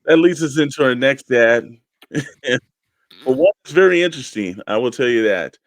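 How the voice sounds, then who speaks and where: A man's voice: medium pitch, expressive, moderate pace, no echo. A man co-hosting a hobby show in casual discussion, with a loose, meandering way of phrasing things. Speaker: co-host of a toy-collecting show.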